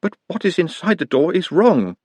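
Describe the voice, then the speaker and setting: Guy reading as a soft polite orc, quiet and patient, super formal, dry close sound. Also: sits high, big pitch swings, normal speed, slightly rough, no echo, voice pushed up, heavily acted. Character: gentle, soft-spoken orc under self-hypnosis, polite.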